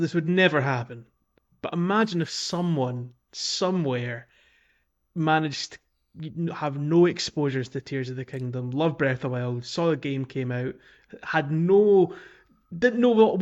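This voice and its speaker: A man's voice: on the high side, lively intonation, normal speed. Scottish man, slightly dull lo-fi sound. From Scotland. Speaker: podcast host. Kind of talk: casual podcast chat.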